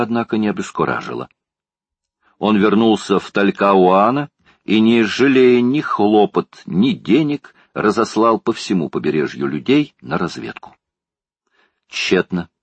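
A man with a deep, slightly husky voice narrates a classic adventure novel at a measured, even pace. The recording is close and dry, with no room sound around the voice. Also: no room sound. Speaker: audiobook narrator.

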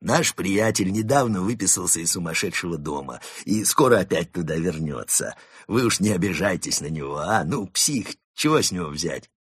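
A man's voice: fairly low pitch, steady tone, unhurried, hardly any pauses, fairly bright tone, slightly rough, dry recording, studio quality, exaggerated voice. A man voicing a thuggish wolf gangster in a storybook, a bullying creature who hisses, growls and wheedles. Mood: placating, sly.

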